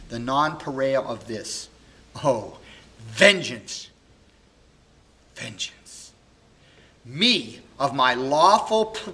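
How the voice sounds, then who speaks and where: A man's voice: fairly high pitch, pushed and bright, voice pushed up, full character voice. A man playing a heartbroken, furious young husband in a Shakespeare soliloquy, declaiming bitterly and emphatically. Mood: anguished, outraged. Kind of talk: classical stage monologue.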